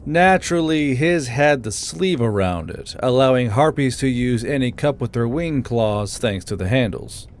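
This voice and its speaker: A man's voice: mid-range voice, expressive, moderate pace, few pauses, a little hiss or hum. A man reading scripted third-person narration for a fantasy audiobook.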